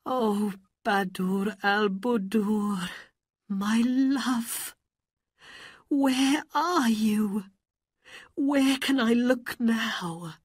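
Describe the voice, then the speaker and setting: Humble young man, plaintive and husky, performed by a woman narrator, with swooping, heartfelt storybook pleas. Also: medium pitch, huge pitch swings, a bit bright, dry recording, clean studio sound, voice pushed up, full character voice. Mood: sorrowful. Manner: plaintive, pleading, drawn-out.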